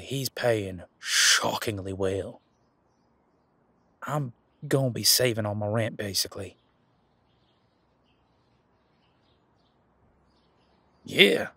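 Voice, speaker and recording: A man playing a laid-back, responsible werewolf security guard in a casual roleplay audio, talking in a colloquial, halting, matter-of-fact way with a husky voice. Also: fairly low pitch, sharp tone, no room sound, full character voice. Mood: frank, uncertain.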